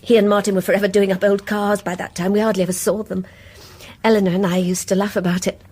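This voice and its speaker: A woman's voice: mid-range voice, flat delivery, quick pace, a little mellow, slightly rough, lightly acted. An actress playing a self-doubting married neighbour in a British radio play, reticent and wistful as she confides. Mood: wistful, confiding.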